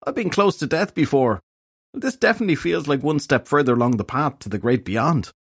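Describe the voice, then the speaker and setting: A man as a croaky, whining hungover fairy detective. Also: on the high side, animated, brisk, dry recording, clean studio sound, pitched above the performer's own voice. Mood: groaning, self-pitying. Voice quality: weak, croaky. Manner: moaning, melodramatic complaining. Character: hungover, self-pitying male half-fairy detective, whiny and dramatic.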